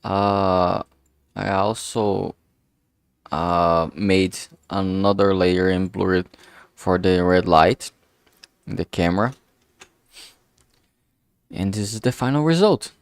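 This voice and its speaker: Low, gravelly man streaming casually. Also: takes it slowly, pauses often, dry recording. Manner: informal, sweary, self-deprecating. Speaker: streamer making a visual novel. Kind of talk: casual rambling art stream commentary.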